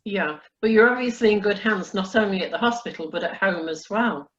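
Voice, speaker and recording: A woman's voice: mid-range voice, a little fast. A woman speaking as an honorary colonel, congratulating people in an effusive, encouraging, chatty way, on dull lo-fi audio. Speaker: honorary colonel hosting a presentation. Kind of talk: warm congratulatory ceremonial chat.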